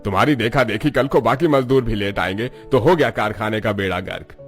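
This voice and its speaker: A man's voice: on the low side, brisk, faint music behind, close to an everyday voice. A man voicing a blustering, scolding but fair factory boss in a cartoon story. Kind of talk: Hindi cartoon story acting.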